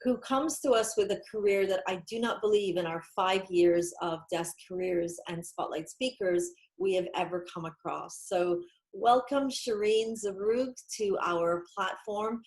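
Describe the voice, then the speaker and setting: A woman's voice: mid-range voice, a little mellow. A woman hosting an online career interview, welcoming guests with upbeat, partly scripted introductions. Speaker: interview host. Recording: echoey room.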